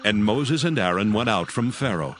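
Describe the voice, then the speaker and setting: Low-pitched solemn male scripture narrator. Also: expressive, moderate pace. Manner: solemn, formal reading. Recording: prominent music and effects behind.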